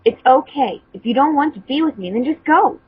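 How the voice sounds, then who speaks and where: A woman's voice: high-pitched, expressive, a little fast. A woman voicing a tough, teasing teenage girl in an amateur fan fiction reading. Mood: blunt, reluctant. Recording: muffled phone-like voice chat line.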